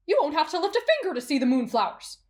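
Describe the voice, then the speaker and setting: A woman voicing an excitable, lovestruck and insecure teenage swordsman boy in an anime roleplay, with an eager, stammering manner. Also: sits high, very fast. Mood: pleading. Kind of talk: anime roleplay ASMR acting.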